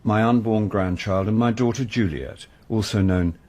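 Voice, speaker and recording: Low-voiced wry middle-aged rogue, played by a man. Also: moderate pace, darkish tone, natural voice. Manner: dry, flippant. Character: wry, philandering middle-aged actor and amateur sleuth. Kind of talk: radio comedy-drama acting with first-person narration.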